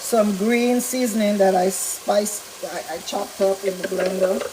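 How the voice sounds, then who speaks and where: Slightly raspy woman cooking in a kitchen. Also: medium pitch, steady tone, a little slow, background noise. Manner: chatty, explains as she cooks. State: slightly raspy from talking a lot. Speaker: vegan cooking channel host. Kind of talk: casual cooking demonstration. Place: home kitchen with food sizzling. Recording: kitchen with loud sizzling food. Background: frying and sizzling sounds.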